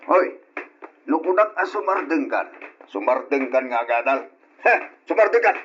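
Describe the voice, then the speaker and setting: An actor playing a stern, stubborn middle-aged father in an old radio drama, gruff, commanding and scornful. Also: mid-range voice, expressive, normal speed, a bit bright, dull audio, slight background noise, close to an everyday voice.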